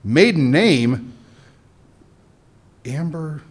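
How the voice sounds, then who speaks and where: A man playing a tough smuggler boss in a radio play, husky and rough-edged. He talks in short, commanding bursts, and his voice rises and falls a lot across a wide range. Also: fairly high pitch, lively intonation, drawn-out words, heavily acted. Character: smuggler boss Jonathan, tough but shaken.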